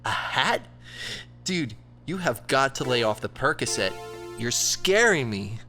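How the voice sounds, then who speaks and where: A man's voice: mid-range voice, very animated, measured pace, music in the background. An actor playing a casual, slangy young man in a band, in an audio drama. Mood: worried. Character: laid-back young male band member.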